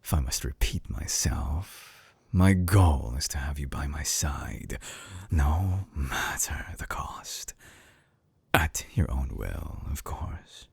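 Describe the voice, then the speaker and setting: A man playing an obsessive, calculating mad-scientist villain in an intimate audio drama, coaxing in a husky half-whisper with slow, silky menace. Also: a little mellow, no room sound, full character voice. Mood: possessive, coaxing. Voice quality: very deep, gravelly, husky, breathy half-whisper. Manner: slow, deliberate, silky menace with lingering pauses. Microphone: close binaural microphone, very dry.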